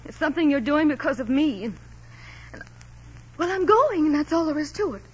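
An actress playing a devoted, stubborn young wife in a 1940s radio drama, speaking earnestly and emotionally. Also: female voice, high-pitched, animated, brisk. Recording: dull lo-fi old radio recording with faint hiss.

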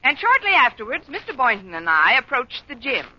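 An actress playing a wry, quick-witted schoolteacher with an American accent and a wisecracking way in old-time radio comedy. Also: female voice, high-pitched, huge pitch swings, pushed and bright, breathy edge, heavily acted. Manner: dry, wisecracking. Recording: dull old radio broadcast, studio audience behind.

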